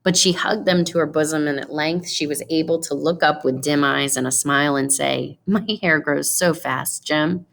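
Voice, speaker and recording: Low-pitched, creaky-voiced woman narrating. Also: level tone, normal speed, few pauses, a bit bright. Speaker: story reader. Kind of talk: expressive scripted storybook narration.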